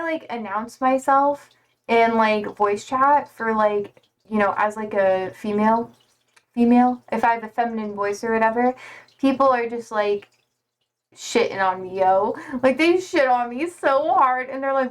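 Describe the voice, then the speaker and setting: A young woman streaming live, chatting casually and reading out viewer comments in a slangy, rambling way full of "like". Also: fairly high pitch.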